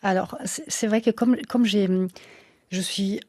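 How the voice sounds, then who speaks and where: A woman's voice: mid-range voice, steady tone, unhurried, darkish tone, dry recording, clean studio sound. A woman who is not a native French speaker, an author speaking as a guest in a radio interview, hesitant with many fillers and restarts.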